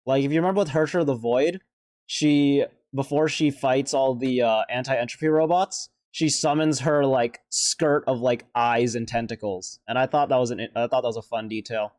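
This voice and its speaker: A man's voice: medium pitch, fairly even intonation, moderate pace, darkish tone, dry recording. A man hosts a reaction video in a loose, conversational way. He rambles and hesitates, working out his thoughts as he goes. The sound is dry, with hardly any room in it. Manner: rambling, hesitant, thinking aloud. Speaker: reaction video host, vtuber. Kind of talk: casual off-the-cuff reaction commentary.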